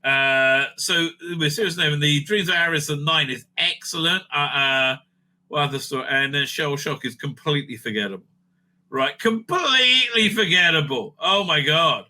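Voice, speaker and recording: A man with a strikingly bright, forceful voice, pitched a bit high, hosts a loose, rambling review. He is blunt and opinionated, swears freely and repeats phrases to hammer his verdicts home. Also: animated, moderate pace. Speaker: host of a fan review show. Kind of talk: casual rambling review and ranking commentary.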